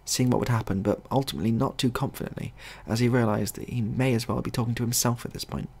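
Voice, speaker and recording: A man narrating a scripted comic short story as a storyteller, in a husky, gravelly voice. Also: medium pitch, fairly even intonation, quick pace, a little mellow.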